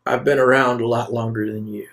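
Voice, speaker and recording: A man voicing an aged, philosophical leader in a tabletop game, speaking solemnly and deliberately with pauses. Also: mid-range voice, little pitch movement, fairly bright tone, close to an everyday voice. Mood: reflective. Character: aged male leader, measured, grave, philosophical, persuasive. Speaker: game master. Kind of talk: in-character tabletop roleplay.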